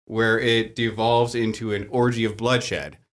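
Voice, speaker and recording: A man's voice: fairly low pitch, level tone, a little slow, a bit bright. A man speaking as a game master guest, explaining rules in a casual group chat. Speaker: tabletop and LARP game master, panel guest.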